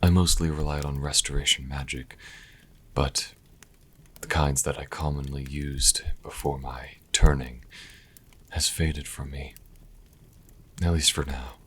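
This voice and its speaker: A man playing a proud, wry vampire healer in an intimate audio roleplay, speaking to the listener with haughty, deliberate pauses. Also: steady tone, full character voice. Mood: measured, proud. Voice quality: very deep, husky, breathy at times. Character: sassy male vampire healer, proud, wry, grudgingly charitable.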